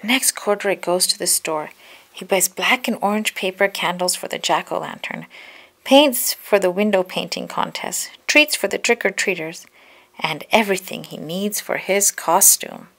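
A woman reading a picture book aloud for children, giving key words expressive emphasis. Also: on the low side, moderate pace, creaky. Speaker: children's storybook reader.

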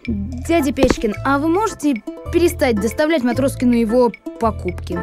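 A woman voicing a sensible, earnest young boy in a cartoon, calm and reasoning. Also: sits high, normal speed, music in the background. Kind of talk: animated series voice acting.

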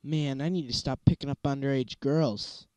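A man's voice: medium pitch, fairly even intonation, normal speed, mellow, no echo. A man doing comedic character acting for an amateur anime parody dub, with a sarcastic, foul-mouthed manner. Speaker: amateur voice actor dubbing all parts of an abridged anime parody.

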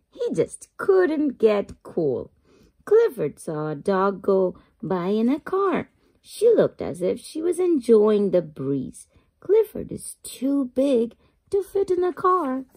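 Slow, expressive woman reading to children. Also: on the high side. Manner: expressive, drawn-out emphasis for young listeners. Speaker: children's book reader. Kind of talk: read-aloud children's storytelling.

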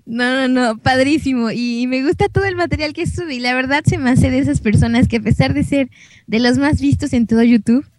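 A woman's voice: high voice, brisk, darkish tone, no room sound. A young adult woman guesting on a gameplay show, chatting casually and telling anecdotes in an informal, giggly manner.

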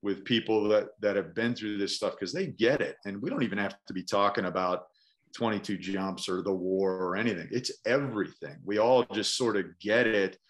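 A low-voiced man speaks candidly on a video call, reflecting and often restarting his sentences. His pitch sits lower than most men's. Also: moderate pace. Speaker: veteran guest. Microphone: webcam mic on video call.

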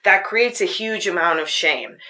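A woman with a fairly low voice hosting a podcast, telling personal stories in an earnest, searching way. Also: animated, normal speed, sharp tone. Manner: earnest, personal storytelling with frequent restarts. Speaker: podcast host. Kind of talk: casual spoken reflection. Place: Tucson.

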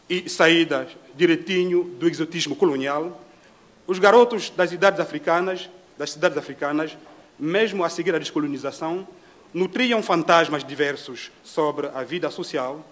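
A middle-aged man from Congo speaks at a panel table in a dim hall. His voice sits a little high for a man. He talks in a measured, reflective way, pausing often to find his words. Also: a bit bright. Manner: measured, with frequent hesitations. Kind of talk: formal reflective talk.

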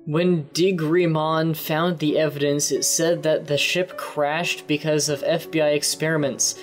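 A man hosting and reading a story aloud in an exaggerated, deliberate dramatic style. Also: a little high, little pitch movement, faint music behind. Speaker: host and reader. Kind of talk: theatrical dramatic reading of fan fiction.

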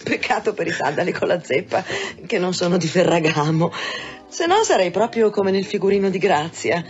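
An actress playing a sultry, teasing, proud brothel madam in a radio drama. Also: female voice, fairly low pitch, lively intonation, normal speed, close to an everyday voice. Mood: playful, flirtatious. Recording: dull band-limited radio drama with music.

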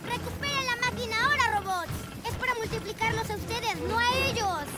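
A woman's voice: very high-pitched, a little fast. A woman voicing an imperious boy mad-inventor villain in a cartoon dub. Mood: angry. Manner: imperious, commanding. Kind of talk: animated cartoon dub acting. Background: cartoon background music.

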